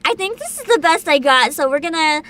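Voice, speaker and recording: A woman hosting a livestream, chatting casually with viewers in an excitable, bubbly, giggly manner. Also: sits high, brisk, slight background noise. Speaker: livestreamer host.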